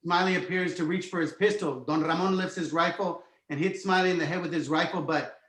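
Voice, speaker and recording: A man's voice: fairly high pitch, fairly even intonation, a little fast, a bit bright. A man reading stage directions as the narrator of a screenplay table read. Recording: roomy, echoing home recording.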